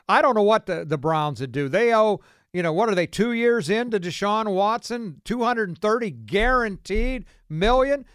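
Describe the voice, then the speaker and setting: A man's voice: sits high, smooth, no echo, studio quality. An American man hosting a casual sports call-in radio show. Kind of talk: casual sports talk with callers.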